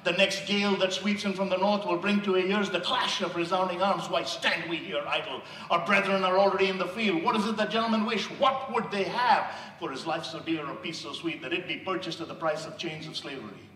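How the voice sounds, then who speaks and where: An impassioned man with an Indian accent delivers a sermon-style speech, his voice bright and a little high. He swells into loud emphatic peaks. The sound is distant and echoey, as if picked up from across a large hall. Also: steady tone, brisk, few pauses. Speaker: motivational speaker.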